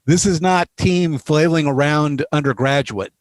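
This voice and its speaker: A man's voice: on the high side, a little slow, no room sound. A man hosting a casual video call interview, jokey and encouraging, teasing the guests. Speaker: interviewer host.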